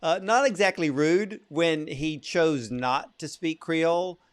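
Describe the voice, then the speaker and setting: A man's voice: a little high, takes it slowly. A man interviewing a filmmaker, earnest and enthusiastic as he asks his questions.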